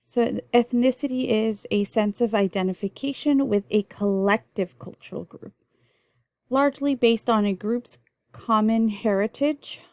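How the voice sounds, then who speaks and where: A woman's voice: on the high side, unhurried, darkish tone, no room sound, clean studio sound. A young adult woman, born in El Salvador and raised in the US, teaching a recorded nursing lecture in an explanatory way with frequent ums and restarts. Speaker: nursing instructor.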